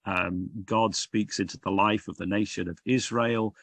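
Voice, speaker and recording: A man's voice: fairly low pitch, steady tone, normal speed, fairly bright tone, dry recording. A man in his sixties with a Liverpool accent, a minister talking earnestly and reflectively as a guest on a video call from home. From Liverpool, England. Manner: earnest, reflective, frequent restarts and "dare I say". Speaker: Baptist regional minister, guest. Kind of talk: conversational podcast interview. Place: home room, indoors.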